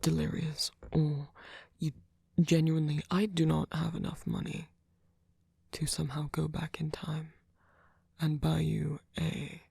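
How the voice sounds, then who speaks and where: A man playing a gentle, doting centuries-old vampire boyfriend in an ASMR roleplay, speaking softly and haltingly, often in a whisper. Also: a little high, completely flat delivery, no room sound, full character voice. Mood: earnest, tender. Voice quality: soft, breathy, often whispered. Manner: halting, polite, fussing, softly reassuring.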